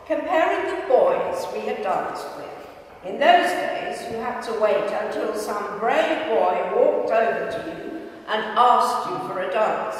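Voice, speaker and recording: A woman reading written memoir pieces aloud at a live event, in a measured, deliberate way. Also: a little high. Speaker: reader at a live spoken-word event. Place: large echoing gym hall. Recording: very echoey hall, distant microphone.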